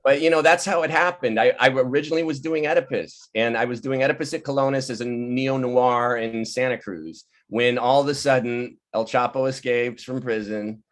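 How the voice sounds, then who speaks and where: A man telling anecdotes casually on a video call, lively and quick to act out what other people said. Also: medium pitch. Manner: animated, laughing often, acting out quoted people. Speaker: playwright and director leading a virtual table read. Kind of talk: casual, anecdotal storytelling chat. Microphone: video call microphone.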